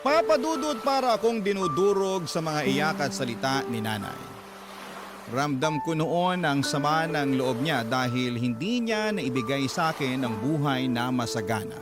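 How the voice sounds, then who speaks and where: A man's voice: a little high, animated, normal speed, close to an everyday voice. A man playing a devoted son who narrates his life story earnestly and sentimentally in a radio drama. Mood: earnest, heartfelt. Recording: loud music bed under narration.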